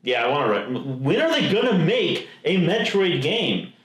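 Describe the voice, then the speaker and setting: A man's voice: a little high, sing-song, moderate pace, fairly bright tone. Roomy-sounding male podcast co-host. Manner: enthusiastic, rambling with restarts. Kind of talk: casual chat. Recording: roomy echo.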